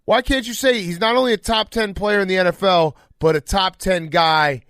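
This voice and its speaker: A man's voice: sits high, normal speed, a bit bright, smooth, no room sound, studio quality. An American man hosting a casual sports podcast, bantering in an enthusiastic, jokey, bro-like way.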